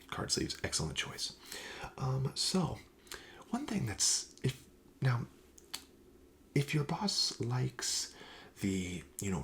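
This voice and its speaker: Guy doing asmr shop roleplay, soft breathy near whisper w lots of vocal fry, polite salesman, bit of background noise. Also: lowish voice. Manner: gentle, polite, attentive salesman. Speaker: ASMR host playing a card shop clerk.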